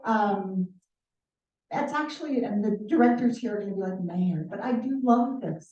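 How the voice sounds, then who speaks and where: A woman's voice: medium pitch, dark tone. A middle-aged woman mayor giving a chatty, upbeat welcome at a community meeting, laughing easily. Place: city hall community room. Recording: echoey room, far from the mic, dull.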